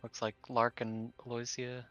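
A man's voice: medium pitch, monotone, moderate pace, bright, forceful tone, dull audio, faint music behind. A man chatting casually during a tabletop roleplaying game as one of the players. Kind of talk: casual chat.

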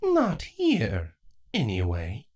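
A man's voice: medium pitch, wildly swooping pitch, extremely slow, a little mellow, full character voice. A man voicing an ancient, scheming changeling queen, smug and taunting with false sweetness, in a dramatic fan fiction reading. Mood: mock innocence.

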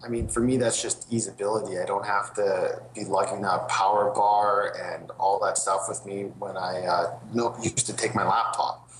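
Guest, young man on a video call: lowish voice, echoey room, faint background hiss. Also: fairly even intonation, normal speed, a bit bright. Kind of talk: casual remote chat.

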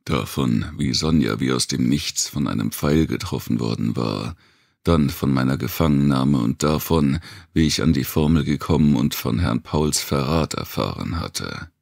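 A man narrating a post-apocalyptic thriller audiobook in the first person, with a measured, deliberate delivery and dramatic pauses. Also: extremely low pitch, vocal fry, darkish tone, dry recording, studio quality.

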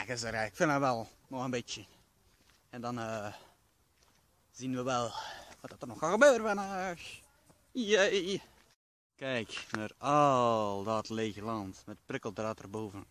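A man's voice: medium pitch, expressive, moderate pace, a bit bright. A young man, rambling playfully with many fillers while filming himself for his vlog on a handheld camera. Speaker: vlogger. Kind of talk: casual vlog chat. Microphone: handheld camera at arm's length. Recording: noisy handheld camera audio.

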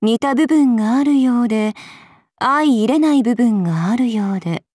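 A woman's voice: a little high, normal speed, darkish tone, no room sound, studio quality, lightly acted. Aloof, languid demon goddess, voiced by a woman. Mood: cool, musing. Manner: composed, polite, faintly sardonic. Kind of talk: Japanese anime game character lines.

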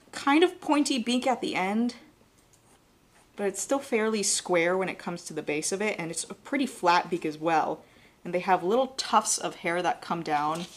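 A young woman with a Southern accent and a slightly low voice, teaching drawing as she sketches. She talks in a relaxed way, walking through each step and often correcting her own words. She is recorded in a studio on a clip-on mic. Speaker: art instructor. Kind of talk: live drawing demonstration. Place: studio with an overhead desk camera.

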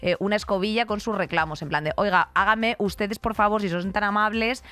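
A young woman with a Castilian Spanish accent co-hosting a podcast, chatting comically in a brash, slangy, animated manner into a close studio microphone. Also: medium pitch, a little fast. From Spain. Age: young adult. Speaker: podcast co-host. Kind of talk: casual comic chat.

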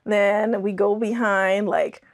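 A woman's voice: mid-range voice, level tone, slow. Young woman chatting casually. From Andros, Bahamas. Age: young adult. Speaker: podcast guest, scientist and author. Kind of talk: casual interview conversation. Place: small indoor podcast studio.

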